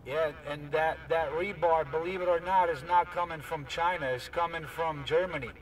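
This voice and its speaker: A man's voice: a little high, steady tone, normal speed. A man talking casually and informally with other operators over a CB radio. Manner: informal, often adds "man". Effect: CB radio transmission. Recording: distant, noisy CB radio.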